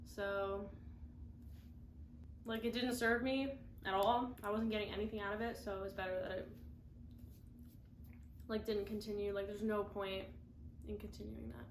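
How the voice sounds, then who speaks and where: A woman's voice: mid-range voice, fairly even intonation, normal speed, pauses often, slight background noise. A woman in her twenties, congested from the flu, chatting casually in a rambling vlog style full of "like"s, in her apartment. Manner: rambling, many "like"s and restarts. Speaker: vlogger. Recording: echoey room with faint ambience.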